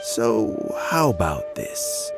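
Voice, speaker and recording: A man voicing a measured, diplomatic older political planner who is near retirement, over game music. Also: very high voice, huge pitch swings, exaggerated voice.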